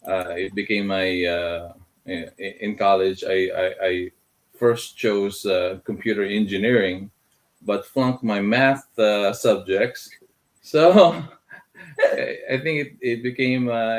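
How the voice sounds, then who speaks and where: A very deep-voiced middle-aged man from the Philippines speaks as an interview guest. He talks in a hesitant, halting way, full of fillers, with Tagalog words in his English. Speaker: guest, filmmaker. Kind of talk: casual interview talk.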